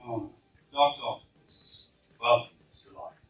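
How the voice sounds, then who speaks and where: A man's voice: medium pitch, steady tone, moderate pace, fairly bright tone, echoey room, lightly acted. A man playing a shadowy, scheming villain in a formal, theatrical radio play style, recorded from a distance in a live room. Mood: smug, commanding. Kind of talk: fan radio play acting before an audience.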